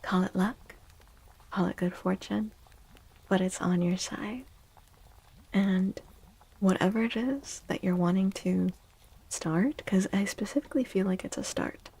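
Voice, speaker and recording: A woman speaks in a gentle ASMR hush that often drops to a whisper. Her voice is dark and soft, with a creaky, breathy grain. She sounds like a host reading cards for her viewers, unhurried and soothing. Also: medium pitch, poor audio.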